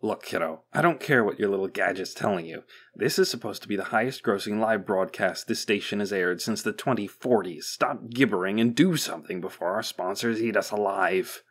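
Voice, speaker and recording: Story boss, male reader: gruff, brusque, exasperated with underlings, low-pitched. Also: brisk, few pauses. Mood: angry. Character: gruff, impatient broadcast boss, contemptuous and exasperated.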